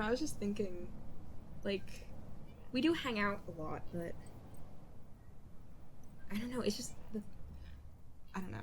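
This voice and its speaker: A young woman improvising a roleplay as a playful, bossy, sentimental childhood friend, speaking casually and haltingly with a teasing edge. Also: medium pitch, a little hiss or hum, natural voice, rough recording. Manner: halting, rambling, casual speech with teasing bossiness.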